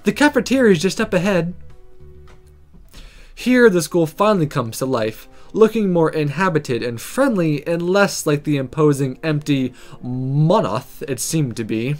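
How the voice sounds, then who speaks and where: Animated game player reading aloud over music. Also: mid-range voice, a little slow. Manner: animated, breaks off to react to the story. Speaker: let's player reading a visual novel aloud. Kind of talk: casual gaming commentary and read-aloud narration.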